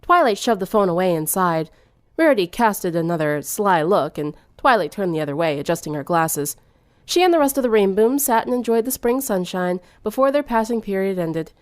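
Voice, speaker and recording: A woman's voice: medium pitch, expressive, moderate pace, dry recording, studio quality. A woman reading fan fiction aloud as scripted third-person narration.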